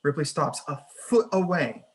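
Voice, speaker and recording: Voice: mid-range voice, lively intonation, moderate pace, breathy edge. A narrator reading scene headings and action lines at a screenplay table read. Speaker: narrator reading stage directions.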